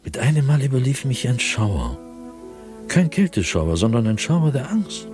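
A man playing an anguished, fearful, solitary diarist in a radio drama, speaking in a husky, brooding voice with sudden swells and hushed drops. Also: medium pitch, sing-song, normal speed, soft background music, close to an everyday voice. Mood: weary, despondent, then agitated, fearful. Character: anguished, fearful, solitary male diarist haunted by nightly dread. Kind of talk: German radio drama monologue, diary read dramatically.

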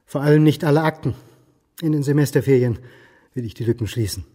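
An actor playing a historian in his forties in a radio drama, terse, dry and quietly probing. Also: mid-range voice, quick pace, natural voice. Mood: reserved. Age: 40s. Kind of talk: German radio drama acting. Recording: reverberant room.

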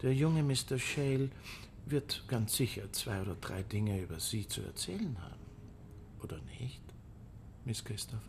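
A man's voice: medium pitch, animated, normal speed, gravelly, heavy background noise. An actor in a radio play voicing a sly, prying theatre man with an oily, insinuating manner. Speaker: theatre employee.

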